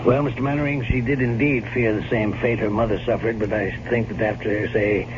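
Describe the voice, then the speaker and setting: An actor playing a grave, blunt family doctor in an old-time radio drama, speaking emphatically and with foreboding. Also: male voice, lowish voice, fairly even intonation, lightly acted. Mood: insistent. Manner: emphatic, deliberate, ominous. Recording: dull, noisy vintage radio recording.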